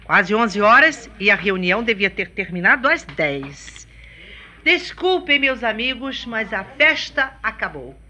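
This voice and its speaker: An actress playing an anxious, affectionate bride in a radio soap, emotive and pleading, with a Brazilian accent. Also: female voice, fairly high pitch, big pitch swings, a little slow, sharp tone, full character voice. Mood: apologetic, exasperated. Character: anxious, affectionate bride hosting her engagement party. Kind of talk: radio soap opera acting.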